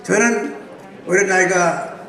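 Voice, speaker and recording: A man's voice: high-pitched, takes it slowly, sharp tone. A man in his nineties from South Chungcheong giving a formal congratulatory speech and lecturing with rhetorical questions. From South Chungcheong, Korea. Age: 90s. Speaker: guest speaker. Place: large banquet hall. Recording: echoey hall, distant mic.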